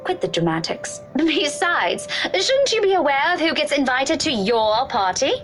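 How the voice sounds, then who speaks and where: A woman's voice: high-pitched, sing-song, unhurried, a bit bright, full character voice. An actress playing a blunt, sarcastic young woman in a game. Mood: teasing. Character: sharp-tongued young woman, blunt and teasing. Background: faint game music.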